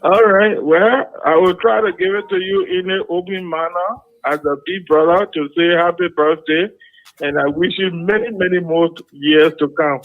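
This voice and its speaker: Man in his fifties, phone caller, halting with fillers, somewhat high voice, tinny phone line, faint music behind. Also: expressive, vocal fry. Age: 50s. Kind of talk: phoned-in birthday greeting.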